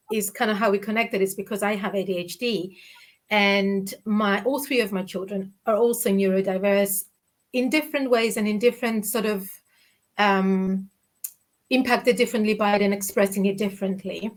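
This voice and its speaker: A woman's voice: medium pitch, fairly even intonation, moderate pace. A woman in her forties with a Cypriot Greek accent, speaking candidly as an interview guest over a video call from her living room, often restarting her sentences. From Cyprus. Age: 40s. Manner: candid, reflective, many restarts and fillers. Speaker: guest, children's author. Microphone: video call webcam.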